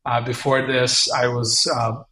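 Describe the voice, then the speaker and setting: A man's voice: mid-range voice, level tone, measured pace, bright, forceful tone, echoey room. A man in his thirties, from Southeast Europe, answering interview questions over a video call in an earnest, reflective way. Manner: earnest and reflective, with frequent fillers. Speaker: guest entrepreneur. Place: small room at home.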